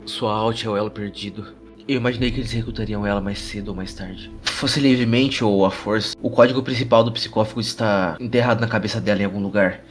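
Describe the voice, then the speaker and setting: A man's voice: on the low side, steady tone, fast talker, natural voice. A man with a Brazilian Portuguese accent playing a menacing, obsessed war-veteran journalist in an audio drama. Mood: sardonic, grim. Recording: music under the voice.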